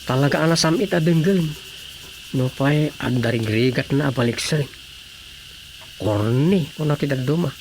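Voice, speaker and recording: A man plays a hapless young suitor in an Ilocano radio comedy. He sounds lovestruck and unsure, pleading his case hesitantly. The recording has clear noise behind the voice. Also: a little high, normal speed, pauses often, a little mellow, lightly acted. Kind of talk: Filipino radio drama comedy acting.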